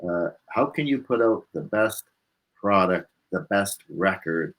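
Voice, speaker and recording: A man with a husky voice, a musician being interviewed, talking casually and reflectively and repeating points for emphasis. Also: low voice, lively intonation, a little slow, fairly bright tone, rough recording.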